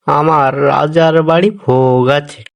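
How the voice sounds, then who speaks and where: A man's voice: fairly high pitch, fairly even intonation, a little slow, vocal fry. An actor playing a clever, fretful Brahmin pandit in a cartoon fable, a man's voice. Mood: dismissive, breezy. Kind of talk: cartoon voice acting.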